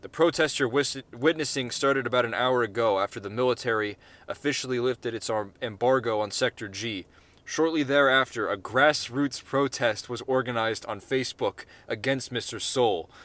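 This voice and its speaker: A man's voice: medium pitch, moderate pace, fairly bright tone, lightly acted. A man voicing a TV news reporter speaking on location. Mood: reporting.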